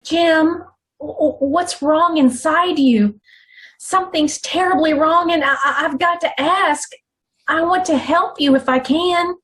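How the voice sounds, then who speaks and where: A woman acting a devoted young man's sweetheart in a radio play, with a very high voice and slow delivery. She is earnest and sorrowful, faltering as she speaks. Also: fairly bright tone, poor audio. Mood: worried, pleading. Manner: halting, earnest, repeats herself. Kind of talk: old time radio drama acting.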